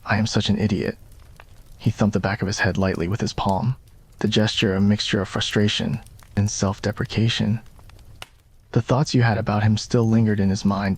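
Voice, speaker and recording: A man with a fairly low voice narrates a romantic story in ASMR style. The delivery is scripted storytelling, read as a roleplay. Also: fairly even intonation, moderate pace.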